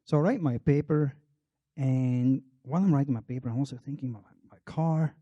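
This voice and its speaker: A man's voice: mid-range voice, fairly quick, mellow, no room sound, highs cut off. A middle-aged Belgian man giving a public talk, telling a wry, self-deprecating personal story. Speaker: marketing researcher on a panel.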